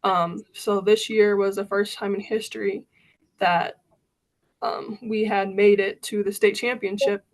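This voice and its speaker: A young woman in her late teens, a high school basketball player, telling her story as a guest over a video call with a relaxed, filler-filled way of talking. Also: measured pace, poor audio. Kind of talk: casual interview answers.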